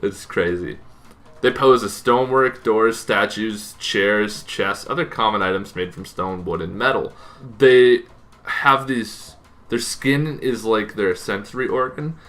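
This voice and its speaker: A man's voice: on the low side, measured pace, fairly bright tone. A man explaining tabletop game monsters in a casual, semi-improvised way, correcting himself and adding informal asides as he goes. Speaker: host of a tabletop gaming channel.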